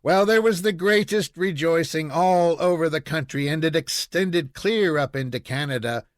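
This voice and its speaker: A man reading a children's story aloud in an expressive, measured storytelling manner. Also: fairly high pitch, no echo, studio quality. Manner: measured storytelling with acted dialogue. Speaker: audiobook reader.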